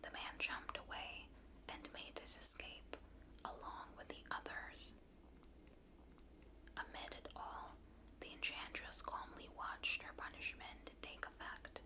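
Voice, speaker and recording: A woman whispering a bedtime story at an unhurried pace to someone drifting off to sleep on an ASMR channel. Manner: hushed, slow, soothing whisper. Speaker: ASMR narrator reading a story aloud.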